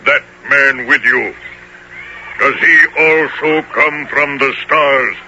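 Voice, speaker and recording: A man playing a gigantic, cruel one-eyed African king in a radio drama, imperious and deliberate. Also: medium pitch, fairly even intonation, dull audio, lightly acted. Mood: suspicious. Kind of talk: 1970s radio drama acting. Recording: noisy old radio drama recording.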